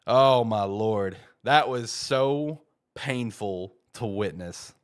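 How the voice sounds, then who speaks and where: A man's voice: on the low side, takes it slowly, clean tone. A man hosting a sports talk show, speaking informally and repeating himself for emphasis, slightly stuffed up with a head cold. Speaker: host of a football show. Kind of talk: casual sports talk, opinionated commentary.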